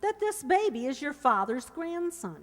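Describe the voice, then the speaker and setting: A woman playing a self-possessed young woman, brisk and assured, in an old-time radio comedy. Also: high-pitched, big pitch swings. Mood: composed, teasing. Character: plucky, self-possessed young woman guarding her baby. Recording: echoey hall stage recording.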